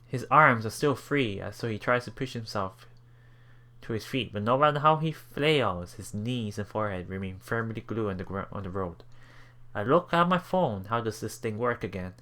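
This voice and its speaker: A man hosting a let's play, reading visual novel text aloud haltingly, with casual asides. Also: on the low side, animated, pushed and bright. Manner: halting, stumbles and repeats words while reading.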